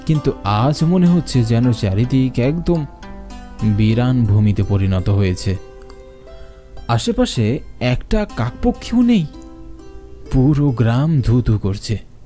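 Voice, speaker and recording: Mellow male horror narrator, lively pitch. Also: slow, pauses often, soft background music. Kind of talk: scripted horror story narration.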